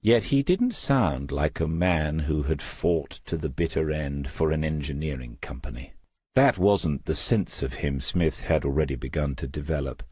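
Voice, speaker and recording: A man with an extremely low, husky voice reads crime fiction aloud. His storytelling is measured and reflective. The sound is dry and close, with no sense of a room around him. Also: darkish tone, dry recording. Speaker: audiobook narrator. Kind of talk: scripted crime novel narration.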